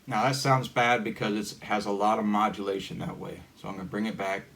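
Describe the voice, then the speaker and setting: A man giving a casual hands-on gadget demonstration in a halting, informal, step-by-step way. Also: on the low side, vocal fry. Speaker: hobbyist demonstrator. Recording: steady background noise.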